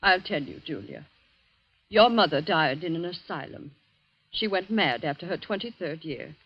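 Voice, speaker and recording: An actress playing a troubled middle-aged guardian's wife in a 1940s radio drama. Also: female voice, fairly low pitch, expressive, moderate pace, husky. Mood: distressed, blunt. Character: guardian's wife, soft-spoken, troubled and soothing. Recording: dull vintage radio recording.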